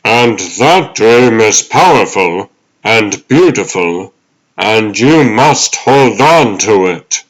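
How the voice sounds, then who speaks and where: A man voicing the ghost of an old butler, fatherly, earnest and deliberate, in a dramatic comic dub. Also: lowish voice, expressive, low-quality recording. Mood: solemn, caring.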